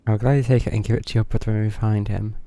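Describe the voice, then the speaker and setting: Low, gravelly, mellow man streaming a game. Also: flat delivery, very fast, background noise. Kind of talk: casual livestream commentary. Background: faint game music.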